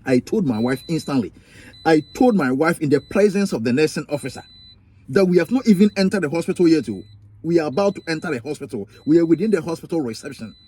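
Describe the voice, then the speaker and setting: Young Ghanaian man, husky mellow voice, emphatic repetitive storyteller, vlog. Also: fairly high pitch, lively intonation, fast talker. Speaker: vlogger.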